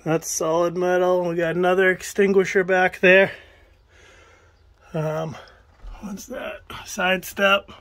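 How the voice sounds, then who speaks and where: A man with a rather high voice talks casually as he rummages through a tight wooden shed. He thinks out loud and speaks to viewers now and then. The handheld phone recording carries a faint hiss in the background. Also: takes it slowly, long pauses. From New England. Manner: relaxed, musing aloud, talks to viewers. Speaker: estate sale buyer filming a shed cleanout. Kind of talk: casual running commentary while picking items. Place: cramped wooden shed, indoors. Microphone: handheld phone camera.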